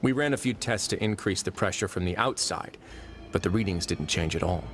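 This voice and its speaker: A self-possessed fortress warden in a video game, with authority and dry wit. His voice sits fairly low and he explains things in a measured, formal way. Music plays clearly behind him. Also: expressive. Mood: measured, explaining. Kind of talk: English video game voice acting.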